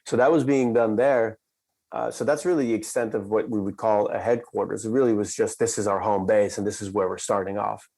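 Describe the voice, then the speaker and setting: Low-voiced Dutch-raised man telling a story. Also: steady tone, gravelly, darkish tone, rough recording. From Netherlands. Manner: measured, reflective, slows for emphasis. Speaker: company cofounder, guest. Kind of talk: conversational storytelling.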